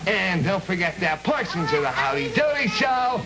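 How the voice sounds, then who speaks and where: A man's voice: high voice, big pitch swings, normal speed, music in the background. A man playing a jolly, playful character signing off on a vintage children's television show.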